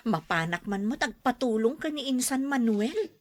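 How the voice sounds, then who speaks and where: Lady doing humble struggling widow mom in a radio drama, higher voice, dry studio sound. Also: lively intonation, normal speed, darkish tone, no room sound. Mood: chatty, plaintive. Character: needy widowed single mother, humble and pleading. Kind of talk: Ilocano radio drama acting.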